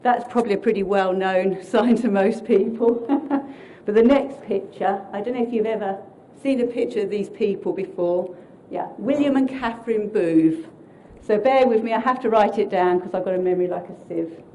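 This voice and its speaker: Loud-voiced woman minister presenting. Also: mid-range voice, normal speed, a little hiss or hum, rough recording. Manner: friendly, explanatory, reading prepared notes. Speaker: Salvation Army minister. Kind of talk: community presentation at a council meeting.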